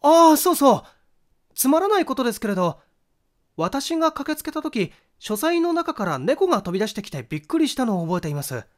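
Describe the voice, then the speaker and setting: A man's voice: very high-pitched, very animated, fairly quick, voice pushed up. A man voicing an earnest young male witness in a mystery audiobook, recounting events formally and in detail. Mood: earnest, thoughtful.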